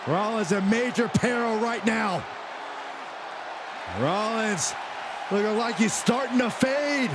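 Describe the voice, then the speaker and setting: A man commentating on a wrestling match in an animated sportscasting style. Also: sits high, slow pace, pauses often. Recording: very noisy arena crowd. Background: roaring crowd, slams.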